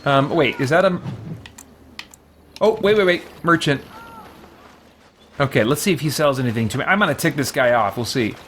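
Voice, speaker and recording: A man's voice: mid-range voice, lively intonation, moderate pace, long pauses, a bit bright, slight background noise. A man doing casual live gameplay commentary as a streamer, thinking aloud and reading things out. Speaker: game streamer. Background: faint game music.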